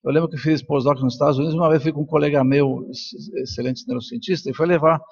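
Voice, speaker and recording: A man's voice: on the high side, fairly even intonation, fast, vocal fry, slightly rough, lo-fi sound. An older man from Colombia, a native Spanish speaker, giving an informal, reflective conference talk with personal stories. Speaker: neuroscientist and professor speaking at a congress.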